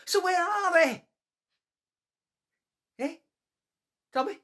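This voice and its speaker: A woman playing an anxious young male miner in a radio play, blunt and wry, with a husky voice and a Northern English accent. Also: very high voice, extremely slow, fairly bright tone, heavily acted. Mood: fearful, sardonic. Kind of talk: radio drama acting.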